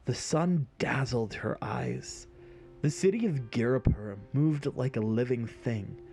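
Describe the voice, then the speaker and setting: A man's voice: medium pitch, creaky, a little mellow. A man narrating a scripted fantasy story in an audio drama.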